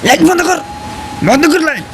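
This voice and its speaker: A man voicing an angry, hard-drinking father who is turning into a tree monster, gruffly barking orders in an animated horror story. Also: very high-pitched, a little fast, a little hiss or hum, pitched above the performer's own voice, exaggerated voice, poor audio. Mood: furious, threatening.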